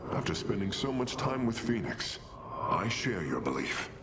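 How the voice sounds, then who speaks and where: A man's voice: lowish voice, big pitch swings, normal speed, heavy background noise. An actor playing a dutiful, deferential male alien engineer in a video game cutscene, speaking in a precise, polite, technical way. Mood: concerned.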